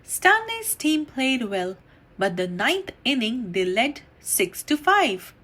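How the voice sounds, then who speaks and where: A woman reading a children's story aloud in an animated, deliberate storytelling manner. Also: a little high. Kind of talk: expressive read-aloud storytime narration.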